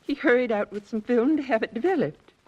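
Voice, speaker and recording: An actress playing a worried, hesitant widow in an old radio drama. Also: female voice, on the high side, fairly quick, breathy edge. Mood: anxious. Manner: hesitant, anxious. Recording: dull old radio recording.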